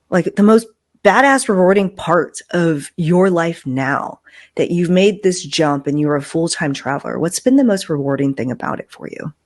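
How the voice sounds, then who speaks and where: Low-voiced woman hosting a casual podcast. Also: darkish tone. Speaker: podcast host.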